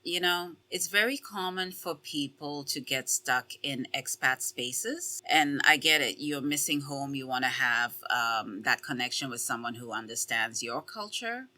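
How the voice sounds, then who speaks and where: A woman's voice: rumbling low, lively intonation, unhurried, hardly any pauses, pushed and bright. A young woman, a travel professional speaking as a podcast guest in an emphatic, affirming way. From Ghana. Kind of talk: casual interview chat.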